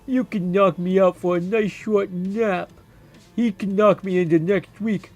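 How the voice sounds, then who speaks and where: A man's voice: high-pitched, fairly even intonation, measured pace, darkish tone, dull audio, soft background music, voice pushed up. A man voicing a surly, lazy giant cyclops from a video game, grumbling and taunting. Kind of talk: video game character dialogue.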